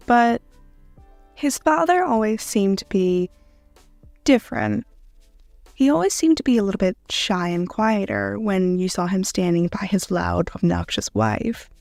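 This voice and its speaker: A woman narrating a scripted second-person story in a dramatic manner with deliberate pauses. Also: medium pitch, creaky, a little mellow, no room sound. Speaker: narrator of fan fiction audio.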